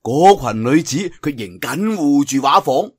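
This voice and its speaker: A man's voice: fairly high pitch, animated, measured pace, breathy edge. A man with a Cantonese accent telling a martial arts story in a dramatic traditional style, with conversational asides.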